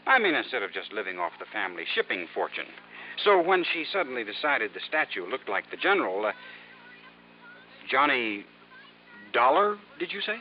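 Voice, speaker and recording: Man playing meek, evasive nephew, animated vintage radio. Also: medium pitch, normal speed, highs cut off, close to an everyday voice. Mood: dismissive. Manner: hesitant, polite, flustered stammering. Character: meek, evasive, dependent nephew of rich aunt. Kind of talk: 1950s radio drama acting. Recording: noisy, band-limited vintage radio recording.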